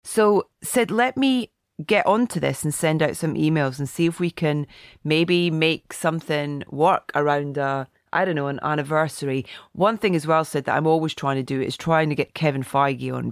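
A middle-aged woman hosting a film podcast, chatty and a bit croaky, reading out a listener's email. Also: low voice, no room sound, clean studio sound. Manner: chatty, warm asides, reads listener email. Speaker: podcast host. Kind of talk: casual podcast chat.

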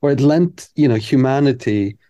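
Man, composer guest; warm, relaxed tone, candid and reflective, talks things through as he goes. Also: medium pitch, moderate pace, highs cut off. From Iceland. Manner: candid, reflective, thinks aloud. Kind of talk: casual interview chat.

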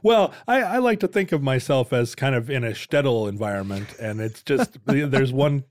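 A man's voice: mid-range voice, expressive, moderate pace, darkish tone, no echo, studio quality. A middle-aged man co-hosting a podcast in casual banter, rambling and self-deprecating, laughing often. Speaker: podcast co-host.

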